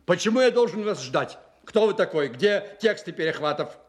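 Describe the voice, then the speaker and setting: A man's voice: high voice, animated, normal speed. A man acting a stern, impatient Soviet army general in a Russian radio play, speaking in a curt, commanding way. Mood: angry, reproachful. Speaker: actor in an audio drama.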